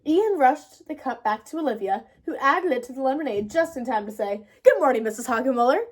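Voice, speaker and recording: A woman reads a children's story aloud as narrator. The voice is high and very animated, with an expressive storytelling style. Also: fairly quick. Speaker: storybook reader.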